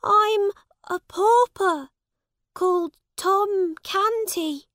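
A woman's voice: very high voice, extremely slow, fairly bright tone, dry recording, studio quality, voice pushed up, heavily acted. A woman voicing a frightened poor boy who is mistaken for a prince, pleading and crying out in a storybook reading.

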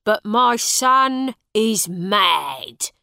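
A woman's voice: medium pitch, lively intonation, drawn-out words, no room sound, studio quality, full character voice. A woman voicing a harsh, bullying father and thief who barks orders. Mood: gruff commanding. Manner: loud, gruff commands.

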